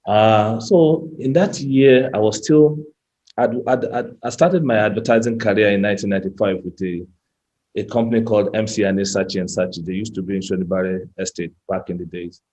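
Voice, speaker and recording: A man's voice: on the low side, fairly even intonation, fairly quick, darkish tone. A Nigerian man in his fifties giving a chatty personal talk as a guest speaker, often repeating words and restarting his sentences. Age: 50s. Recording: muffled lo-fi sound.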